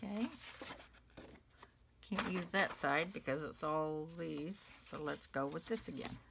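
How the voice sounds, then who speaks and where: Slow, gravelly-voiced woman crafting at home. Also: background noise. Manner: muttering measurements to herself, low-key. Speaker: paper craft channel host. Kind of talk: casual talk while cutting paper for cards. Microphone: overhead camera over a craft table.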